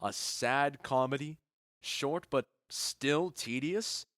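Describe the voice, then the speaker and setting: A man's voice: medium pitch, slow, a bit bright, dry recording, studio quality. A man playing a confident, genial Athenian duke in a modernized Shakespeare audio drama, speaking in a self-assured, declamatory way. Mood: curious, amused.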